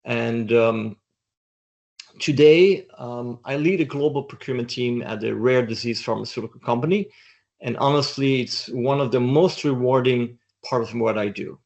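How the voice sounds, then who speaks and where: A man's voice: mid-range voice, normal speed, creaky, darkish tone. Man, middle-aged, from Belgium, interview guest, reflective, thoughtful. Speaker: interview guest, doctoral student. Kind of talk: casual interview chat.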